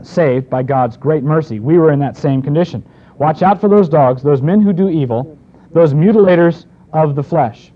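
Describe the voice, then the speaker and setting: Man preaching, dull lo-fi sound. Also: a little high. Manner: conversational, with frequent restarts and asides. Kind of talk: expository Bible teaching. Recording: dull lo-fi recording.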